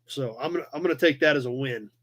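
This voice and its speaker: A man's voice: mid-range voice, fast, fairly bright tone. An American man in his forties hosting a live chat, talking casually and conversationally as he reads and answers viewer comments. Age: 40s. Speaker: live chat show host.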